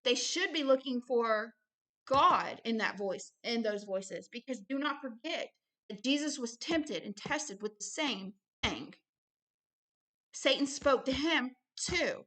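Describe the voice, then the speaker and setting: A woman with a fairly high voice delivers religious commentary, moving between reading aloud and her own explanations. She is emphatic and often repeats a phrase to drive it home. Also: animated, slow pace, fairly bright tone, rough recording. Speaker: host, self-described prophet reading a book aloud with commentary.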